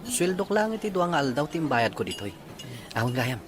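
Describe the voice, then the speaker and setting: A man's voice: a little high, very animated, fast talker. A man with an Ilocano accent playing a tender, hopeful young suitor in a serial drama. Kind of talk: Ilocano radio-style serial drama acting.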